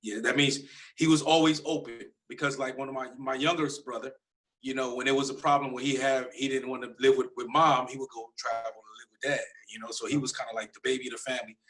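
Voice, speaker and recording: A man, an interview guest telling his story in a relaxed, rambling way with street slang. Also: medium pitch, fast, low-quality recording. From Detroit, United States. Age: 40s. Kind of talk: casual storytelling.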